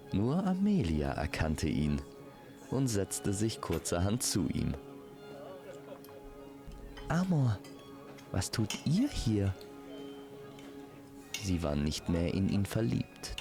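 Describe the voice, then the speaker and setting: A man's voice: sits low, creaky. A man narrating a scripted fantasy story as a storyteller.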